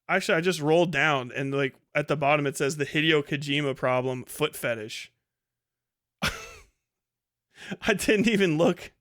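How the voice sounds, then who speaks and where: A man's voice: on the high side, animated, unhurried, pauses often, a bit bright. A man hosting a casual livestream commentary, swearing heavily in an exasperated, incredulous way. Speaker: livestream host.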